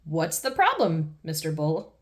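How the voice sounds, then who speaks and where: A woman voicing a kind and patient mother pig who speaks gently and politely. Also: low voice, huge pitch swings, unhurried, pitched below the performer's own voice, heavily acted. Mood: gentle.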